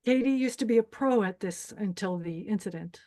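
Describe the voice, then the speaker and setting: A woman's voice: mid-range voice, fairly quick, warm tone, close to an everyday voice. A woman playing a menacing, deranged pageant host who bosses and threatens contestants in a screenplay table read. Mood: cold, mocking. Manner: bossy, threatening.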